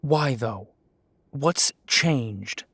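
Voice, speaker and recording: A man's voice: medium pitch, expressive, extremely slow, fairly bright tone, dry recording, heavily acted. An actor playing a defiant young male werewolf who answers the council with dry, mocking politeness. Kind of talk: audio drama acting.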